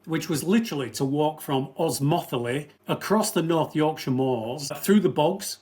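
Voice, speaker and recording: A man from the north of England, a former soldier and event organizer, answering interview questions in a measured, storytelling way. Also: a little high, darkish tone. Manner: measured, storytelling, addresses host by name.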